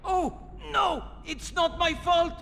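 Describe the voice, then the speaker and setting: An actor playing a hot-tempered but cowardly smuggler boss in a video game, theatrical. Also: male voice, extremely high pitch, steady tone, slow pace, vocal fry, pushed and bright, a little hiss or hum, full character voice. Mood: terrified pleading. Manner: theatrical, swings from boastful to grovelling.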